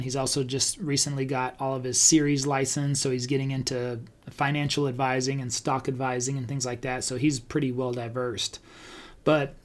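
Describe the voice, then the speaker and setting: A man talking casually as a podcast host, conversational and thinking out loud as he goes. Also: mid-range voice. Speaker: podcast host and real estate agent. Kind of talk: casual talk about online video marketing.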